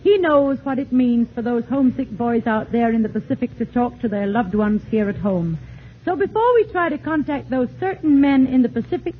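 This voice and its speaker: A woman's voice: fairly high pitch, moderate pace, narrow, tinny audio. Polished woman radio announcer, 1940s broadcast. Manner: polished, formal introduction. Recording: thin 1940s radio broadcast with light hiss.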